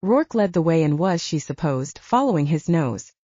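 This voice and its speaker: A woman's voice: low-pitched, animated, normal speed, dark tone, no echo, lo-fi sound. A woman reading a scripted story as an audiobook narrator.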